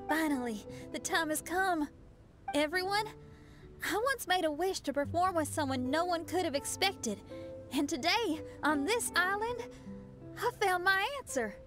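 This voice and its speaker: Cheery confident rocker girl in a game, high voice, sincere, bit of music behind. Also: lively intonation, a little slow. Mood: excited, heartfelt. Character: upbeat young female rock musician, warm-hearted and confident. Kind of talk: video game character acting.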